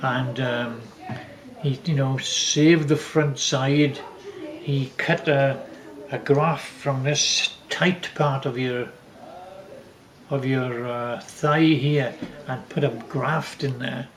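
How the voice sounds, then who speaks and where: An older man reminisces casually in a reverberant room. He rambles through family stories, stopping and restarting phrases as he goes, in a middle-pitched voice. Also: fairly even intonation. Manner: rambling, halting with repeated false starts. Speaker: father telling family stories. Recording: echoey room.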